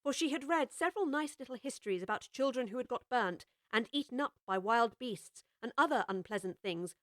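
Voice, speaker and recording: A woman reading scripted storybook narration in a measured, expressive way. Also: a little high, no room sound, studio quality. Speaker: audiobook narrator.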